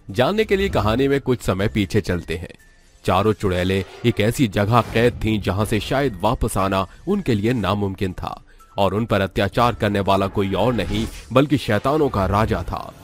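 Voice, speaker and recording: Deep-ish guy storyteller, dramatic horror cartoon narration, music clearly behind him. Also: animated, moderate pace. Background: background music.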